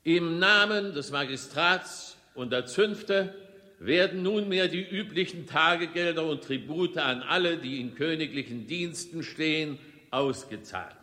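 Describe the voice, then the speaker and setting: Slow, high-pitched ceremonious mayor. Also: male voice, sharp tone. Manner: formal, solemn, deliberate. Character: ceremonious small-town mayor welcoming the king. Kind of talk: radio play official proclamation. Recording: long hall reverberation.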